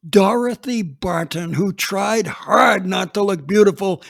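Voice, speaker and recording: A man's voice: high voice, a little slow, creaky. A man reading a dramatized first-person story as a police chief, plainspoken and matter-of-fact.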